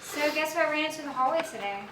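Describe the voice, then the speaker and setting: An actress playing a poised, wryly teasing and reassuring star's wife in a stage play. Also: female voice, sits high, rapid-fire, pushed and bright. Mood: chatty. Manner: wry, reassuring. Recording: distant, echoey, noisy stage recording.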